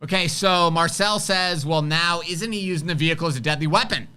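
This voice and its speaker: A man's voice: on the high side, brisk, sharp tone, smooth. A man co-hosting a live stream, joking and teasing as he casually answers viewer questions.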